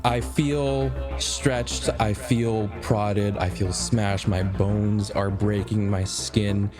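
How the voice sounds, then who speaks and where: A man improvising dramatic first-person narration as a tabletop roleplayer, in deliberate short phrases with pauses. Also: lowish voice, fairly even intonation, over music.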